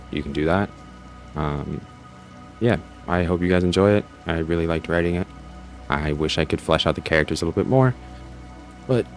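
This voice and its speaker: A man with a low voice talks casually as a host introducing his own story. He rambles in a self-deprecating, conversational way. Faint music sits behind him. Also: a little mellow. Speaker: channel host and story writer.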